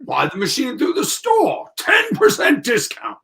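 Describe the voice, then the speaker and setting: A man's voice: very high-pitched, huge pitch swings, a little slow, creaky, pushed and bright, full character voice. A man acting a blustery, shrewd middle-aged department store boss in a 1940s-style radio play. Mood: expansive.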